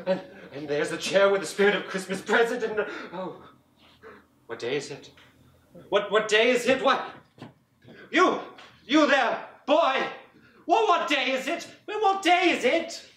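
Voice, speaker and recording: A man's voice: high voice, pushed and bright, heavily acted. A man playing an older male miser in a one-man storytelling performance, theatrical, pleading and exclaiming. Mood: giddy. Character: older male miser, desperate then giddy with joy. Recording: roomy, distant mic, faint ambience.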